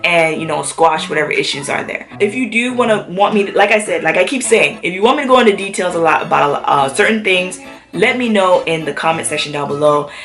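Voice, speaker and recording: A woman's voice: lowish voice, lively intonation, fairly quick, fairly bright tone, soft background music. A woman vlogger talking casually to camera, chatty with many fillers, sick with a cough.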